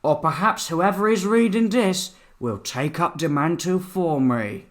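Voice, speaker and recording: A man's voice: on the high side, lively intonation, a little slow, pitched above the performer's own voice. A man voicing an earnest adventurer father solemnly declaiming a written message in an audiobook. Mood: solemn hope. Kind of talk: audiobook character acting.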